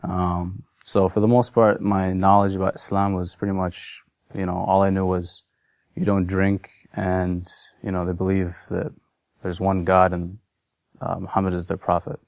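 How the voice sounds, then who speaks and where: A man in his thirties from Massachusetts, telling his personal story in a halting, unscripted way full of fillers. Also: deep voice, little pitch movement, creaky, darkish tone, no echo. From Massachusetts, USA. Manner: hesitant, many fillers and restarts. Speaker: convert sharing his story.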